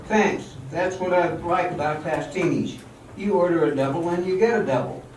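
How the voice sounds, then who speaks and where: A man's voice: on the high side, moderate pace, a little mellow, slight background noise, close to an everyday voice. An amateur actor playing a bitter, stubborn, proud male dancer who has been jilted and is drinking, in a radio drama. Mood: wry, boozy. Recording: very echoey hall, distant mic, music behind.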